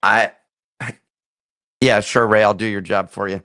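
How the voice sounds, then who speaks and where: A man's voice: lowish voice, steady tone, measured pace, pushed and bright, no room sound. A man co-hosting a casual podcast, with a dry, teasing way of talking. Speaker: podcast co-host.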